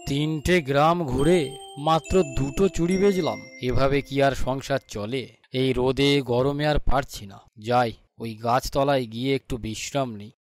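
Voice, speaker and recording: A man acts out a poor, worried bangle seller from a cartoon fairy tale. His pitch is middling and his delivery plaintive and self-pitying. Faint music plays behind him. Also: steady tone, a little slow, lightly acted. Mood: tired, worried. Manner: plaintive, lamenting.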